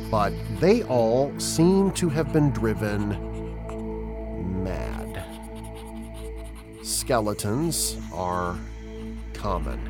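An actor playing a sinister professor stranded alone in a desolate place, speaking in a halting, dramatic way for an audio play. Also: male voice, on the low side, exaggerated voice. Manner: slow, halting, dramatic delivery. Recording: dramatic new-age music behind the voice.

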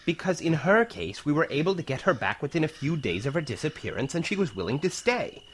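A male actor plays an eager professor of time travel in an audio drama. His fairly high voice moves animatedly as he patiently lectures, pausing for weight. There is faint noise in the recording. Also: very animated. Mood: explaining, amused. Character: enthusiastic male professor who discovered time-travel wormholes, patient explainer.

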